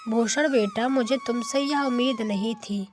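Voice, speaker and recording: A woman's voice: fairly high pitch, a little slow, music in the background, close to an everyday voice. A woman voicing disappointed, accusing villagers in a cartoon moral story.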